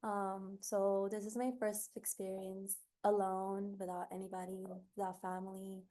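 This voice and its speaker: Woman from new york on a zoom panel, pretty flat even delivery, earnest. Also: mid-range voice, a little slow. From New York City. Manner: earnest, steady, with many fillers. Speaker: graduate student panelist. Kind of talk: panel answer on a video call. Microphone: video call.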